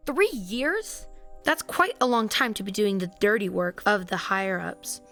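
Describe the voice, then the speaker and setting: Actress as a female superior officer for audio drama, mid-pitched, deliberate, in charge with a teasing edge. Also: big pitch swings, a bit bright, faint music behind. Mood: firm, persuasive. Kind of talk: scripted audio drama acting.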